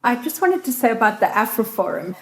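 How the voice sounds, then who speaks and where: A woman with a South African accent, from South Africa, giving measured, informative answers as a reporter in an interview. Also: on the high side, sing-song, roomy sound.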